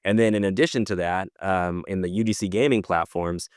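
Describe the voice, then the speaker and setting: A man's voice: deep voice, brisk, smooth, no room sound, studio quality. A man co-hosting a podcast, talking through news casually in an enthusiastic, explanatory way. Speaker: podcast co-host presenting news.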